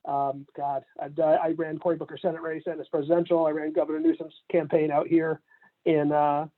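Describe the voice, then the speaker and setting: A man's voice: on the high side, a little fast, narrow, tinny audio. A man around forty, a campaign manager, talking casually in a remote interview, laughing often between his points. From Canada, raised in Atlanta. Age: 40s. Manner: relaxed, laughs often, many fillers.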